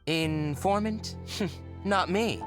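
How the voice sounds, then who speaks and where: A woman's voice: lowish voice, extremely slow. A woman playing a shifty, evasive informant among thieves in a video game, coy and slippery in manner. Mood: coy, teasing. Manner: coy, slippery, hesitant when covering slips. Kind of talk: video game character acting. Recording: game audio with music behind.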